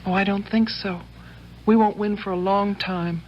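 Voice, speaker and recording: A young sweetheart, played by an actress, frets with breathless urgency and fondness. The sound is dull, faintly noisy vintage radio drama. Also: female voice, mid-range voice, steady tone, moderate pace, natural voice. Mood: anxious, fond. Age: young adult. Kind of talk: 1970s radio drama acting.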